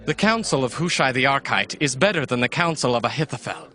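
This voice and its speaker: An actor playing a commanding prince of ancient Israel in a dramatized scripture recording, speaking with declamatory authority. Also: male voice, mid-range voice, expressive, fairly quick, bright, forceful tone, soft background music. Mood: decisive.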